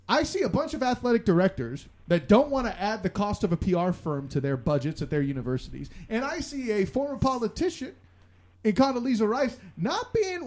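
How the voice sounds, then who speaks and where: A man co-hosting a casual sports talk show, animated and opinionated, with a joking streak. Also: high voice.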